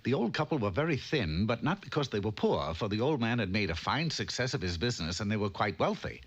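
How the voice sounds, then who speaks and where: A man's voice: lowish voice, big pitch swings, quick pace, muffled recording. A man narrating a comic fairy tale in a theatrical storybook style.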